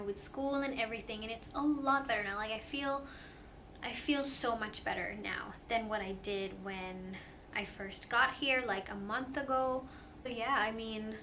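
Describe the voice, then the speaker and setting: A woman's voice: a little high, pushed and bright, a little hiss or hum. A young woman from Sweden, a native Swedish speaker, talking casually to her camera in an earnest, hesitant way, with many fillers and restarts. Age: young adult. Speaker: vlogger. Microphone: camera mic.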